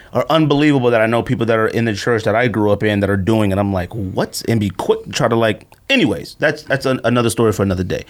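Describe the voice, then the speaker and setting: Casual man, very animated pitch. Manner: slangy, conversational. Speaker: podcast host. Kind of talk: casual sneaker podcast chat.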